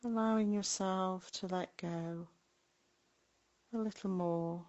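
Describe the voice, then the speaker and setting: Slow, even-toned woman guiding meditation. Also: lowish voice, dull audio. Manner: gentle, unhurried, with long pauses between short phrases. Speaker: meditation guide. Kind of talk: guided body scan meditation.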